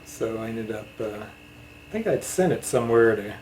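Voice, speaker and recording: A man in a casual interview chat, reminiscing in a halting way. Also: mid-range voice, lively intonation, darkish tone, a little hiss or hum, rough recording. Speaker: interviewer, channel host.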